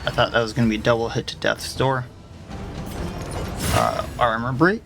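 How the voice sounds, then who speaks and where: A man's voice: medium pitch, steady tone, moderate pace, vocal fry. A man losing his voice, casually talking through his game moves as he plays. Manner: muttered tactical thinking aloud. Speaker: gamer. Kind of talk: casual gameplay commentary. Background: game music.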